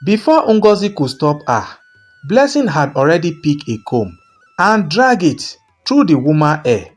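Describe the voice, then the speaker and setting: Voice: medium pitch, very animated, unhurried, faint music behind. A narrator telling a scripted folktale in a dramatic storytelling style.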